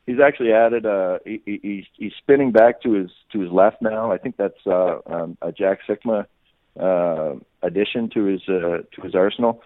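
A man's voice: fairly low pitch, normal speed, dry recording. A man giving off-the-cuff sports analysis as a guest reporter, often repeating words and restarting his sentences. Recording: muffled phone-like radio line.

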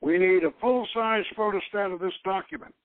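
A man reads out a gentlemanly, skeptical adventurer from an audiobook tale. The voice is high and emphatic, with a wry turn to it. The recording is dry and lo-fi. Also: moderate pace, no room sound, natural voice. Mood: businesslike. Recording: dull, lo-fi radio sound.

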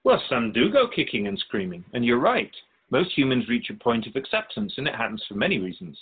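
A man playing a wise otherworldly keeper of souls in a radio drama, speaking in a measured, philosophical, instructive way. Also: mid-range voice, big pitch swings, breathy edge, phone-quality sound. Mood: calm, instructive. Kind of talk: radio drama dialogue in interview form.

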